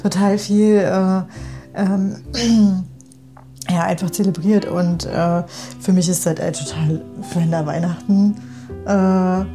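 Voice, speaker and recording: A woman's voice: fairly low pitch, steady tone, slow, over music. A woman in a casual, reflective chat with a friend. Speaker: conversation partner in a personal audio piece.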